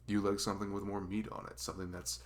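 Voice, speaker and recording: A man's voice: fairly low pitch, level tone, fast talker, natural voice. A man playing a dorky, nervous young best friend in a conversational ASMR roleplay, rambling and stammering with self-deprecating humor. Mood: enthusiastic, rambling.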